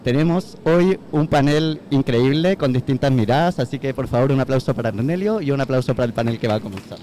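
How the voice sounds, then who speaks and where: A man moderating a live conference panel, courteous and brisk as he hands the microphone from speaker to speaker. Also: mid-range voice, warm tone, slight background noise. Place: conference venue.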